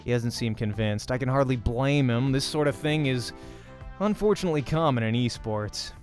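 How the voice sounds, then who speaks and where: A man's voice: mid-range voice, moderate pace. A man hosting a let's play, reading visual novel narration aloud expressively like a voice actor. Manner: acts out dialogue while narrating. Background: game music.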